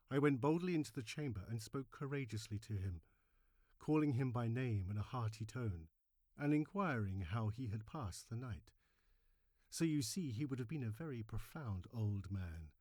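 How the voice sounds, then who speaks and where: A man's voice: medium pitch, no echo, clean studio sound. Man playing an agitated madman, wildly swinging delivery. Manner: theatrical, emphatic, with hushed drops and sudden swells. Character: agitated madman murderer insisting on his sanity, horror storybook. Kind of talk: dramatic horror story reading.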